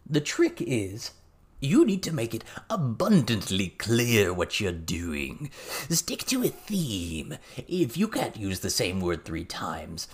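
Man voicing an animated, crude little goblin teacher. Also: on the low side, takes it slowly, slightly rough, exaggerated voice. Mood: instructive, emphatic. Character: crude, excitable little male goblin teacher, foul-mouthed.

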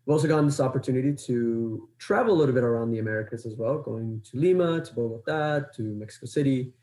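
A young man in his twenties co-hosts a podcast, speaking in an earnest, measured way. His voice is warm and dark in tone, and it is recorded in a noticeably echoey room. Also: medium pitch. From Ecuador, raised in Los Angeles. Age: 20s. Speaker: podcast co-host. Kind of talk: scripted intro then casual chat.

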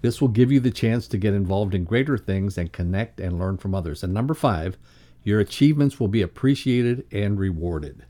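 A man co-presenting a motivational podcast lesson, reading scripted text aloud in a measured, instructional way. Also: fairly low pitch, animated, dark tone.

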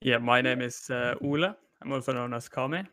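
A man's voice: medium pitch, brisk, bright, forceful tone. A confident, boastful man from Norway chatting casually as an esports team manager on a panel. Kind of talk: casual chat.

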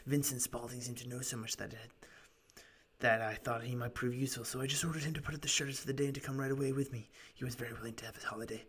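Man putting on a raspy, earnest Victorian shopkeeper. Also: mid-range voice, a bit bright. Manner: earnest, wordy, old-fashioned storytelling. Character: Victorian London shopkeeper, earnest male storyteller recounting his tale.

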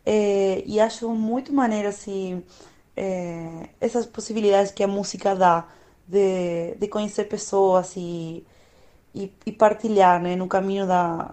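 A woman in her thirties whose first language is Spanish, an opera singer chatting casually as a guest in a reflective, unhurried way, often pausing to find words. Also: medium pitch, steady tone, dark tone. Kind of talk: casual conversation.

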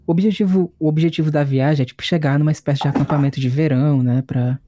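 Crude, joking Brazilian game master. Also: male voice, a little high, steady tone, fast, warm tone, dry recording. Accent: Brazilian Portuguese. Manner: casual, crude joking narration. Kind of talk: narrating a tabletop game.

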